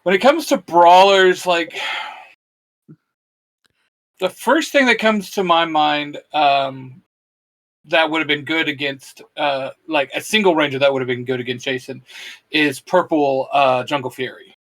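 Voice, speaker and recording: Co-host, male, bright and somewhat high voice, earnest fan analyst. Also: unhurried, poor audio. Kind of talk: casual fan discussion.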